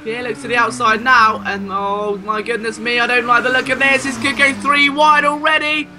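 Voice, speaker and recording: A man giving excitable, exclamatory live play-by-play commentary on a motor race. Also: very high-pitched, moderate pace. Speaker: sim racing race commentator. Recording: clear car engine noise behind. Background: racing car engines.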